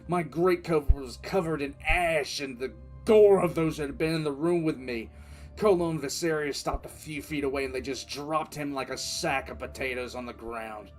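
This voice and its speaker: An actor playing a stern, brooding military commissar, recounting a war in deliberate, dramatic audio drama narration. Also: male voice, on the high side, lightly acted. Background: music.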